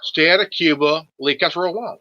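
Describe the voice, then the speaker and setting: A man in his forties talks politics casually in a forceful, slightly high voice. He is emphatic and salty, often repeating words as he gets to his point. The sound is dry and a little dull. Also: fairly quick, dry recording. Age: 40s.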